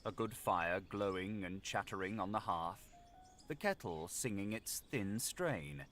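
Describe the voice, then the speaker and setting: A man narrating a gothic audiobook in a measured, literary, dramatic delivery. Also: low voice, animated, fairly bright tone, soft background music.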